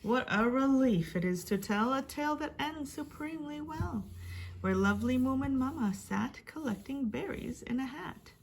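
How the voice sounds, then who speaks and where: Woman storyteller, high-pitched, slightly creaky, dramatic rhyming children's reading, expressive, faint noise behind. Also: moderate pace.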